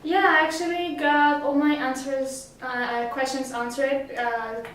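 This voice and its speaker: High-voiced teenage girl, echoey room. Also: steady tone, a little slow, a bit bright. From Greece. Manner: hesitant, many fillers and restarts. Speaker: summer school student. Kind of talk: casual interview answers. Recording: roomy echo, mic at a distance.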